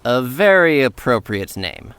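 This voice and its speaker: An actor playing a haughty, fussy chief steward with a pompous, formal, condescending way of talking in an audiobook. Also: male voice, mid-range voice, sing-song, unhurried, a bit bright, smooth, no room sound. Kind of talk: audiobook character acting.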